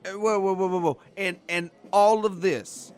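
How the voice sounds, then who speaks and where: An actor in an audio drama playing a boisterous, folksy Irish-American barfly who loves being the center of attention. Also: male voice, high voice, steady tone, normal speed, clear, resonant tone, faint music behind, natural voice. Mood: bewildered, indignant.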